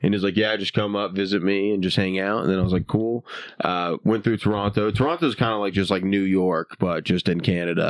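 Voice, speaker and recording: A young man from the United States tells a loose, rambling story as a guest comic, his speech full of "like" and "dude". His voice sits fairly low. The talk is casual and unscripted. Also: fairly even intonation, fairly quick, no room sound. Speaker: guest comedian. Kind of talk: casual podcast chat.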